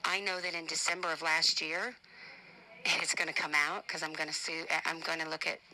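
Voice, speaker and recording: A woman's voice: sits low, bright, forceful tone, rough recording. A woman speaking informally in a personal voice message, emphatic and defensive, repeating her points to drive them home. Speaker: family advocate and show host.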